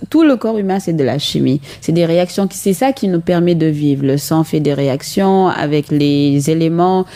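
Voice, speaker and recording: Radio guest: a woman in her thirties, mellow and measured, pausing often as she thinks. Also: fairly low pitch. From Senegal. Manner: earnest, measured, frequent hesitations. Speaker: guest, chemist and entrepreneur. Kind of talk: radio interview answers.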